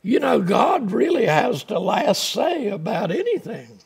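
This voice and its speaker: A man's voice: high-pitched, big pitch swings, a little slow, gravelly, husky. An older man preaching a folksy, plainspoken sermon from the pulpit of a small church, speaking through a lapel mic. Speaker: pastor.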